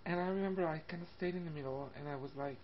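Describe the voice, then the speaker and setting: A woman of thirty from Italy with an Italian accent, telling a personal story casually to camera in a hesitant, halting way. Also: low voice. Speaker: vlogger. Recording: muffled lo-fi webcam audio, background noise. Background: classical music and faint room noise.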